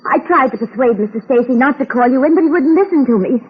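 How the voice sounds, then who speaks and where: A secretive, anxious young woman from an old radio mystery. Her voice is high, husky and full of breath. The sound is muffled and dated. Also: animated, fast. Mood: shaken, confessing. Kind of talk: vintage radio drama acting. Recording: dull old radio recording.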